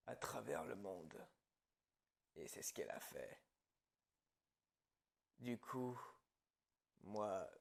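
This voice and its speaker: Guy acting as a calm gloating psycho killer, gravelly asmr horror voice, real slow with lots of pauses. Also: mid-range voice, heavily acted. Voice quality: husky, gravelly, breaking into whispers. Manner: slow, pausing, quietly menacing storytelling. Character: calm, gloating male psychopath killer confessing his story. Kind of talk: French ASMR horror roleplay monologue.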